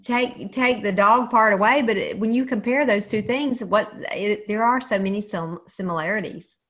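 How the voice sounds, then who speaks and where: A woman hosts a relaxed conversational interview show. Her voice sits at a middle pitch, and she speaks with enthusiasm, reflecting on and affirming the ideas under discussion. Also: normal speed. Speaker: show host. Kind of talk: casual interview hosting.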